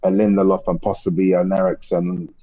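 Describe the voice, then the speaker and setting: A man calling in to a football show, relaxed and hesitant with ums, giving his verdict on the transfer window. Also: sits low, a little fast, dull audio, a crowd in the background. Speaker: caller. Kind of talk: casual football chat.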